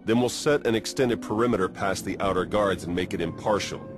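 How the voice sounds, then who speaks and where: Man as a deep, blunt commander. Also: fairly quick, music in the background, close to an everyday voice. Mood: brusque, dry. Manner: dry, clipped, no-nonsense. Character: pragmatic, blunt male military commander. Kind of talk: video game voice acting.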